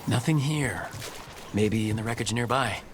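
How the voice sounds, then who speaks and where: A man's voice: fairly low pitch, normal speed, background noise, natural voice. A man voicing a wry, capable heroic team leader in a video game, dry and composed. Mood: focused.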